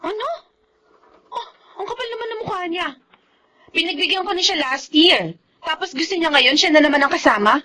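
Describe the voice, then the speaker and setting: A woman's voice: extremely high pitch, animated, brisk, long pauses, sharp tone, full character voice. An actress playing a bitter, hurt separated young mother in Filipino radio drama, speaking with indignant emotion.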